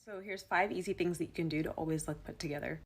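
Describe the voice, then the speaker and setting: A woman talking through a numbered list of grooming tips in a relaxed, conversational way. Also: sits low, fast talker, husky. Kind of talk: listicle beauty vlog.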